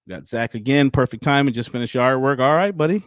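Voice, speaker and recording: A man's voice: mid-range voice, animated, fast talker, no echo, clean studio sound. A man in his thirties hosting a casual live-stream interview, chatty and loose, laughing easily. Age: 30s. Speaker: livestream host.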